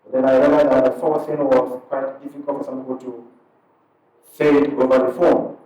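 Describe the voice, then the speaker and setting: A man from Ghana with a Ghanaian accent, an imam speaking to a gathered audience in a conversational, unhurried way, breaking his sentences into short pieces. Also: medium pitch, level tone, dark tone, highs cut off, soft background music. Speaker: imam and parish missionary. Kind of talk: addressing an audience at a community event. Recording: very echoey hall, speaker far from the mic.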